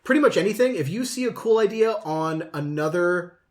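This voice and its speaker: Higher-voiced man in casual podcast banter. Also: lively intonation, a little fast. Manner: profane, joking, self-deprecating. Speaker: podcast host. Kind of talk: casual chat. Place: kitchen.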